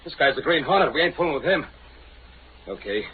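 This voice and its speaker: An actor playing a small-time crook with rough street slang and little nerve in an old radio drama. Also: male voice, on the high side, expressive, fairly quick, close to an everyday voice. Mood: nervous, resigned. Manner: tough street slang, ungrammatical. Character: slangy male small-time crook, jeering but cowardly. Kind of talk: 1930s-40s radio drama acting. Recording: tinny old radio recording, noisy.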